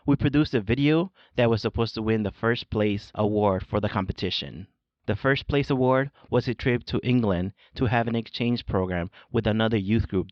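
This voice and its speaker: A man from Mexico, Spanish his first language, reads his own podcast script in a steady, measured way. His voice sits low. The recording is dry and a bit dull, with no room sound. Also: dry recording. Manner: measured, read-aloud delivery. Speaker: podcast host. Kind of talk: scripted solo narration.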